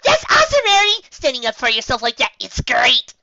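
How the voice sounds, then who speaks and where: An excitable young pony from a fantasy storybook, eager and squeaky. The pitch leaps very high above normal speech and sounds bright. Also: female voice, a little fast, gravelly, no room sound, muffled recording, full character voice. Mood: excited. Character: timid-to-bubbly, excitable young female pony.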